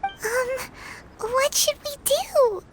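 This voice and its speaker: A woman's voice: extremely high pitch, animated, drawn-out words, a little hiss or hum, heavily acted. A woman voicing a tiny childlike companion in a video game, blunt, informal and easily flustered. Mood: flustered, worried.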